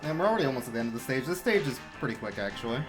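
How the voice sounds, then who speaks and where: A man commentating his own video game speedrun in a relaxed, explanatory way, with sudden excited outbursts. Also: mid-range voice, rapid-fire. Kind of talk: casual live-stream commentary. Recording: game music playing behind.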